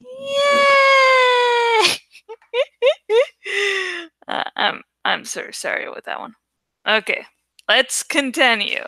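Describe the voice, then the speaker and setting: A woman hosting a livestream reading of a novel, speaking in an expressive, deliberate way with casual, dramatic delivery. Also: very high-pitched, no echo. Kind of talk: dramatic audiobook-style reading with casual asides.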